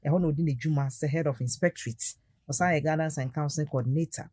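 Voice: medium pitch, fast, darkish tone, slightly rough, dry recording. A radio talk show host, welcoming guests and often murmuring agreement. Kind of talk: casual radio chat.

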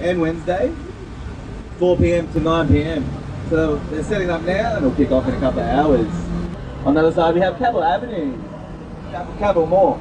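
A man with an Australian accent giving chatty, informal live commentary as a tour guide. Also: on the high side. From Gold Coast, Australia. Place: on a moving amphibious tour vehicle. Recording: distant mic, clear vehicle and outdoor noise.

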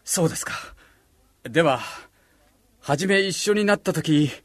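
A man's voice: a little high, animated, measured pace. A man playing an earnest, devout disciple in a radio drama, speaking emphatically. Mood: reverent.

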